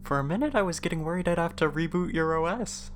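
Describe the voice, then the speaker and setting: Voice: mid-range voice, animated, fast talker, natural voice. An actor playing a casual, dry but caring human shipmate in a sci-fi audio drama, with music behind. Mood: sardonic. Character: concerned, sardonic human shipmate named Miles.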